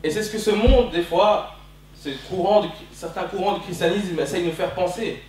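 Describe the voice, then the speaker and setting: A man's voice: high-pitched, moderate pace, a little mellow, slight background noise. A man in his twenties from Belgium preaching a passionate, emphatic sermon that leans on repeated phrases. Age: 20s. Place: church hall during a service. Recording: very echoey church hall, distant mic.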